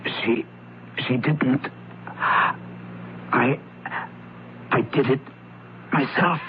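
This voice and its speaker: A man's voice: mid-range voice, extremely slow. A man playing a defensive, troubled son of a wealthy family in an old-time radio drama. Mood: weak, halting. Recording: dull, noisy vintage radio recording.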